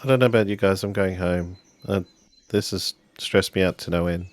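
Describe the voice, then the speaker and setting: A man improvising in a casual tabletop role-playing game, speaking as his character and narrating in a weary, halting way. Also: sits low, steady tone, no echo.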